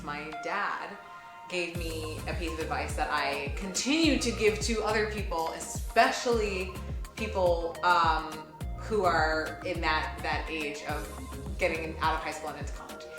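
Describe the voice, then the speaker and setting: A woman giving a casual, reflective interview as a voice actor, talking expressively and emphatically and correcting herself as she goes. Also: low-pitched. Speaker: voice actor in an audio drama. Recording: roomy echo with music behind. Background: music.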